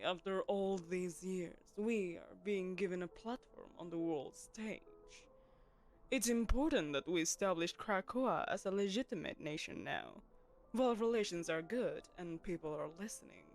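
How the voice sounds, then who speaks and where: A woman voicing a poised, commanding telepathic queen who hosts a lavish gala, speaking to the listener in a silken, condescending, persuasive way. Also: fairly low pitch. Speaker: voice actress. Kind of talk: audio roleplay, spoken to the listener.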